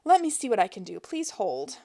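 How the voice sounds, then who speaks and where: A woman voicing a female customer service representative with a high-pitched, syrupy sweet, trained phone manner. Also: sing-song, fairly quick, gravelly, warm tone. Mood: polite.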